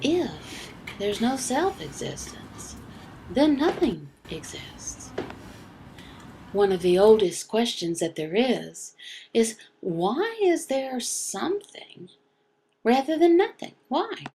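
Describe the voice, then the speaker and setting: A woman giving an explanatory religious lesson in short, emphatic, halting phrases, often asking and answering questions. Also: a little high, very animated, warm tone, slight background noise, rough recording. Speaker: teacher voicing a signed religious lesson.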